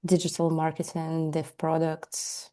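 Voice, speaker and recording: A woman's voice: low-pitched, completely flat delivery, dark tone. Hesitant Russian-accented woman on a call. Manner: hesitant, halting, playful. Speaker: marketer, club student. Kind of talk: casual chat. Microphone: video call.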